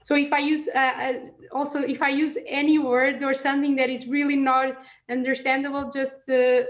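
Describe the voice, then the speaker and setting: High-pitched young woman, native Portuguese speaker. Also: flat delivery, moderate pace. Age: young adult. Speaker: guest, PhD student researcher. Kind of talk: informal explanation of own research.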